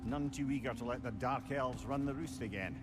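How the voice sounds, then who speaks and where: An actor playing a wry, learned older Scottish companion who shares lore in a video game. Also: male voice, medium pitch, brisk, very noisy, natural voice. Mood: wry, knowing. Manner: wry, storytelling. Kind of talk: video game character dialogue. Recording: game music behind.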